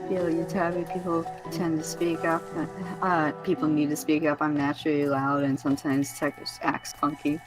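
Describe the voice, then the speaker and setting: A woman with a very low-pitched voice, rambling casually on a stream call. Game music sounds clearly behind her. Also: moderate pace, rough recording. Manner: relaxed, rambling, says like often. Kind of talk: casual chat.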